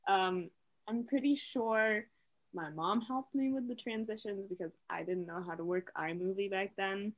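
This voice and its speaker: A woman's voice: mid-range voice, a little slow. A young woman hosting a video, commenting in a casual, informal and slightly apologetic way. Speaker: video host.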